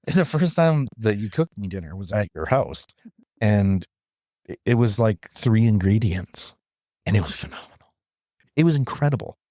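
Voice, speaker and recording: A man from interior British Columbia guesting on a podcast, telling animated stories and acting out what people said. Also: on the low side, moderate pace, no echo, studio quality. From interior British Columbia, Canada. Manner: animated storyteller, acts out quoted talk.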